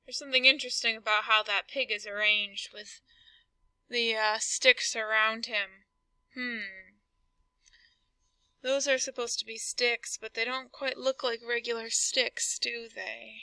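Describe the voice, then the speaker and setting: Woman reading as bright, self-justifying storybook wolf. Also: fairly high pitch, fairly even intonation, a little slow, no room sound, full character voice. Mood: mock-innocent. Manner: conversational, mock-sincere, addresses listener directly. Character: self-justifying male storybook wolf, folksy, playing innocent. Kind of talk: expressive read-aloud storytelling.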